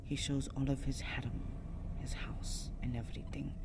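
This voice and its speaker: Low, stern vampire woman acted by a woman. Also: little pitch movement, normal speed. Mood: grave, guarded. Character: seductive, stern female vampire, wary and threatening. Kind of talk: ASMR roleplay acting. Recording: clearly noisy, ambient background.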